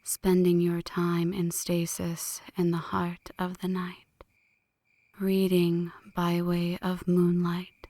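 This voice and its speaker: A hushed, low-pitched vampire woman, acted by a woman for an ASMR roleplay. She speaks very slowly and breathily in poetic phrases, flirty yet brooding. The sound is close and dry. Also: completely flat delivery, no echo. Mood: teasing, seductive. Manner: slow, teasing, poetic. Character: flirty, brooding female vampire, seductive and melancholic. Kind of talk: ASMR roleplay, scripted dramatic monologue.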